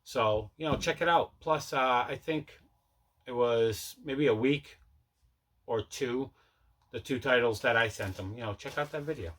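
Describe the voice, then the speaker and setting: A man with a very bright, forward voice at an average pitch hosts a casual unboxing vlog. He rambles conversationally and doubles back to correct himself as he talks. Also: measured pace, slight background noise. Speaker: host of a collecting channel.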